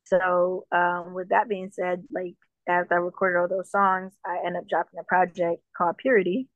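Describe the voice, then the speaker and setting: A woman from Jamaica, a musician guest, talking casually in an interview with a relaxed manner and lots of fillers. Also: lowish voice, moderate pace, no room sound. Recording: dull, dry lo-fi sound.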